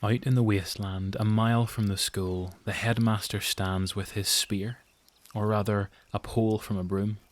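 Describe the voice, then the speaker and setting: A man with a Northern Irish accent reading scripted, suspenseful dramatic story narration in deliberate phrases. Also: fairly low pitch, level tone. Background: music.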